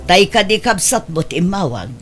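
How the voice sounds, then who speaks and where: Casting: woman to play middle-aged grasping aunt, medium pitch, complaining, Ilocano accent, radio drama. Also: big pitch swings, normal speed, over music, lightly acted. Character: grumbling, money-grasping aunt.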